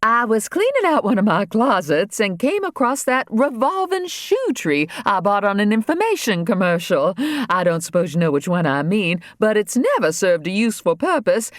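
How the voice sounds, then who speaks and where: A woman voicing a fussy, flustered older wife who talks in a quick, evasive way. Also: mid-range voice, sing-song, no room sound, clean studio sound, pitched above the performer's own voice, lightly acted.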